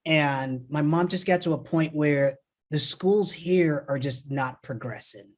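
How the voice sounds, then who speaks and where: A man in his thirties from Nigeria, a podcast guest telling his life story in a relaxed, friendly way. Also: a little high, measured pace. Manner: relaxed and friendly, laughs easily. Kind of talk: casual storytelling.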